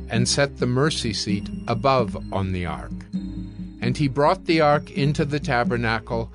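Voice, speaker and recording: Solemn male narrator over clear music. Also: mid-range voice. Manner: solemn, deliberate storytelling. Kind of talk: scripted dramatized scripture narration. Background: background music.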